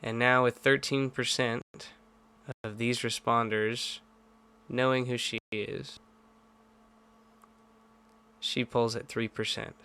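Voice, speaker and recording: A man's voice: medium pitch, level tone, slow pace, pauses often, bright, forceful tone, clear, resonant tone. A man giving urgent, blunt political commentary, pressing his points with emphatic repetition.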